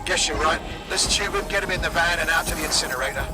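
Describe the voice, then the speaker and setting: A man playing an aggressive, anxious street thug in a video game, foul-mouthed and given to shouting. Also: fairly high pitch, quick pace, natural voice. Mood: agitated. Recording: loud music over the voice.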